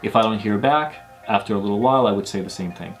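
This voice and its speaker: A man in his thirties giving clear, step-by-step instruction to camera as a video host. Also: lowish voice, quick pace, soft background music. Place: small indoor studio room.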